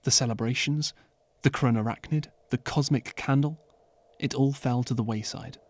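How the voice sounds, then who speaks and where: A man narrating an audiobook as scripted storytelling, measured and earnest, with dramatic pauses. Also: mid-range voice, dry recording. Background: clear background music.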